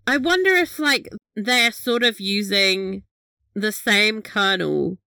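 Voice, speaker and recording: Bright, giggly woman podcast host. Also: mid-range voice, expressive, slow, vocal fry, dry recording, clean studio sound. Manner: chatty, giggly, swears casually. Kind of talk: casual recap chat.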